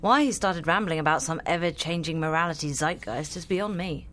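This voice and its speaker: A woman's voice: low-pitched, brisk, a bit bright. A woman co-hosting a podcast, talking casually with a skeptical, wry manner. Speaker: podcast co-host. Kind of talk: casual chat.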